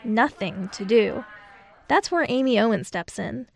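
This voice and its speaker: A woman radio reporter narrating a scripted news feature in a measured, clear delivery. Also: a little high, lively intonation, faint music behind.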